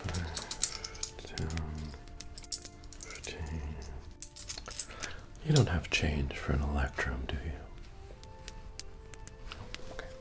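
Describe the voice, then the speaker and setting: A man acts out a friendly medieval tavern keeper in a soothing ASMR roleplay. His voice is remarkably deep and soft, slipping toward a murmur or whisper. He takes his time over every phrase, chatty and hospitable, with faint bard music behind him. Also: full character voice. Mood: quiet, attentive. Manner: unhurried, hospitable, murmuring to a guest.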